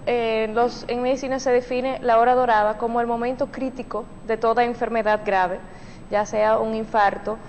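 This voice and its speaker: A woman from Austria, an emergency medicine resident on a TV talk show, answering questions in Spanish in a calm, simple way. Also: a little high, moderate pace, long reverb tail, slight background noise. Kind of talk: TV interview.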